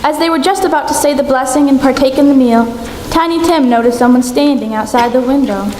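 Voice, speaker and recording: A woman's voice: high-pitched, fairly quick, natural voice. A woman playing a storybook narrator, reading scripted narration for a live radio play. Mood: narrating. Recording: echoey hall, noisy stage recording.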